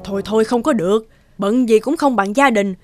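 A woman's voice: on the high side, fairly quick, a little mellow, dry recording, faint music behind, close to an everyday voice. Firm elderly cartoon mother, acted by a woman. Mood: insistent. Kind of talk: animated moral tale dubbing.

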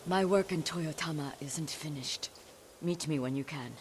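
A woman's voice: sits low, normal speed, a little hiss or hum, close to an everyday voice. Woman acting a grave older samurai noblewoman. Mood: grave, resolute. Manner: grave, commanding. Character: stern grieving older samurai noblewoman seeking vengeance. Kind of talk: dramatic video game acting.

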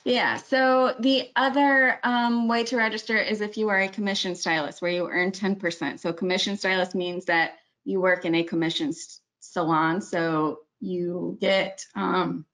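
A woman's voice: medium pitch, fairly even intonation, measured pace, few pauses, a bit bright. Chatty woman presenting a webinar. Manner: chatty, self-correcting, many ums. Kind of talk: screen-share webinar walkthrough.

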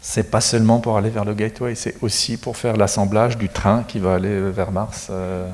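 A middle-aged man with a fairly low voice explains technical matters in a matter-of-fact way. He speaks into a handheld mic on a studio set. A long reverb trails his words, as in a big hall. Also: little pitch movement, normal speed, a little mellow. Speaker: space agency expert guest. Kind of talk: studio interview.

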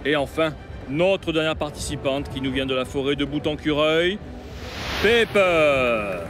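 A man's voice: a little high, over music, natural voice. A man playing a pompous older town mayor in a cartoon, announcing in a grandiose, theatrical way. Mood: grandiose, ceremonial. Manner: grandiose, drawn-out announcer delivery.

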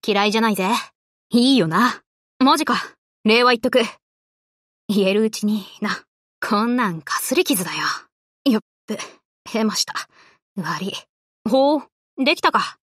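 An actress voicing a brash, cocky but loyal young tomboy warship girl in an anime game, with rough, boyish, casual speech. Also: medium pitch, moderate pace, long pauses, a bit bright, no echo, studio quality, lightly acted. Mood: casual, friendly. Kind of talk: Japanese anime game character voice lines.

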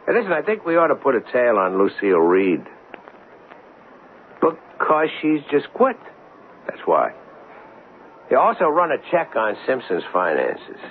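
Brash radio cop, wildly animated. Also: male voice, medium pitch, measured pace, pauses often. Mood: businesslike. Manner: blunt, pushy, slangy. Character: brash, dogged male police detective sergeant. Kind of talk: 1970s radio drama acting. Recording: tinny, noisy old radio recording.

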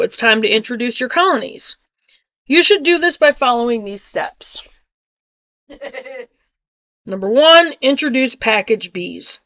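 A woman's voice: fairly high pitch, a bit bright, no echo, highs cut off. A middle-aged woman reading an article aloud with casual asides, sometimes stumbling over and repeating hard words, recorded on a webcam in a home kitchen. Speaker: homesteading vlogger. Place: small home kitchen with wood-panel ceiling, indoors.

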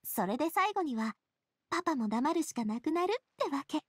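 High-voiced, spirited young lady. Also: a little fast. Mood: fond, reflective. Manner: casual, feminine, expressive storytelling. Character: spirited, kindhearted young lady, breezy and earnest. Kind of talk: Japanese anime game voice acting. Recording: music bed behind the voice.

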